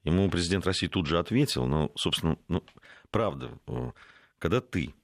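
A man with a very deep voice making his case on a political talk show, lifting his pitch sharply when he hammers home a point. The sound is close and dry. He is recorded in a studio. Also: lively intonation, moderate pace, gravelly, no echo. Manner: argumentative, rising to stress points.

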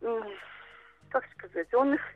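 A woman's voice: fairly high pitch, animated, a little slow, no room sound, narrow, tinny audio. A woman in her mid-thirties calling a radio show by phone, telling her personal problem hesitantly and searching for words. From Russia. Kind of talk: spontaneous account of a personal problem. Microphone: telephone.

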